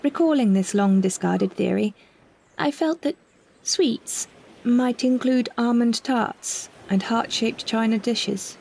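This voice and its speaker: Soft-grained, husky woman narrator. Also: on the high side, takes it slowly, low-quality recording. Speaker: solo performer narrating a story. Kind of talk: scripted first-person literary narration.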